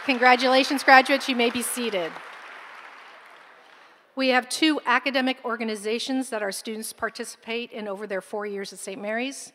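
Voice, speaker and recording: A woman's voice: a little high, fairly even intonation, moderate pace, sharp tone, a little hiss or hum. Formal woman announcer at a podium mic, long hall echo. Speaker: school administrator announcing honors. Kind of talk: ceremony announcements. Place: large concert hall stage. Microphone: podium microphone. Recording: long hall reverb, faint crowd.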